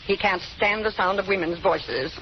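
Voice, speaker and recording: A woman playing a brisk, bossy hospital nurse in an old radio drama. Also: mid-range voice, lightly acted. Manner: brisk, officious. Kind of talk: 1930s-40s radio drama acting. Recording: muffled, noisy old radio recording.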